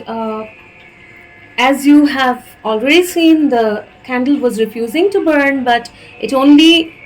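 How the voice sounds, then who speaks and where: A woman's voice: fairly high pitch, low-quality recording. A woman from India with an Indian accent, giving a channelled tarot reading and speaking hesitantly, with many fillers and restarts. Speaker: tarot reader.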